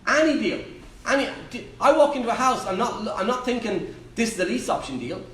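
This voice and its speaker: Irish male trainer, high voice, punchy and repetitive, distant mic with room echo. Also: very animated, a little fast, a bit bright. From Ireland. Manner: emphatic, repeats key phrases. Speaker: property trainer on a Q&A panel. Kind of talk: live seminar Q&A answer. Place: live event room. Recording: echoey room, distant mic, faint noise.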